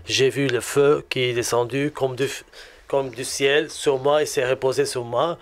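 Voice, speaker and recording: Male guest, Lebanese accent, clear resonant voice, mid pitch, halting testimony delivery. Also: steady tone. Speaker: guest giving a religious testimony. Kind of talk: personal testimony in conversation.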